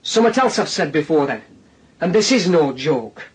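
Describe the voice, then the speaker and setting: A high-pitched, husky Northern English police detective in a radio drama. He needles his suspect with insistent questions, tacking on little tags and dry sarcasm. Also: very animated, moderate pace, full character voice, low-quality recording. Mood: accusing. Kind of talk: naturalistic radio drama dialogue.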